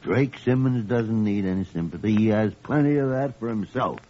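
Actor as gruff, low-voiced old doctor, vintage radio. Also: male voice, normal speed, dark tone, lightly acted. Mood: blunt, admonishing. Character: gruff older male senior doctor and mentor. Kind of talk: old-time radio drama acting. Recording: muffled, hissy vintage radio recording.

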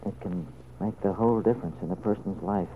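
An anxious, tender father of middle age, played by a male actor, speaks in a low, halting voice. It is earnest old radio serial drama, on a narrow, muffled recording with some background noise. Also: close to an everyday voice. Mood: hesitant, gentle. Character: anxious, tender middle-aged father hiding his secret.

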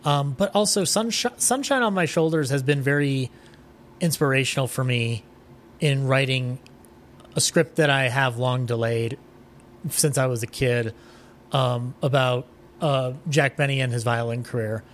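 A man's voice: medium pitch, unhurried. Guy guesting on a podcast, rambly and keen, casual swearing, bit of room noise behind him. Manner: rambling, enthusiastic film and music fan, swears casually. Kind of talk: casual interview chat.

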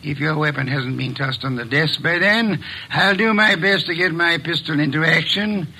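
An actor playing a fat, pompous detective in a 1950s radio drama, speaking in calm, measured, formal sentences. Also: male voice, fairly high pitch, vocal fry, husky, exaggerated voice. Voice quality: formal, measured, elaborate diction.

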